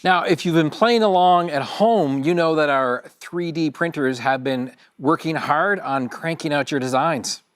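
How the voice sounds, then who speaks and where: A man's voice: on the high side, unhurried, hardly any pauses, clean tone, no echo, clean studio sound. A man co-hosting a live tech event stream, easygoing and chatty, with plenty of fillers. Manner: easygoing, filler-heavy chat. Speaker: event co-host.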